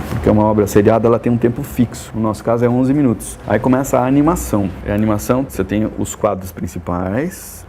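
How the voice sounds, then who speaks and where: A man's voice: lowish voice, gravelly, dark tone, slight background noise. A Brazilian man speaking informally as a producer, explaining a process step by step in a didactic way. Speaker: animation producer, interviewee.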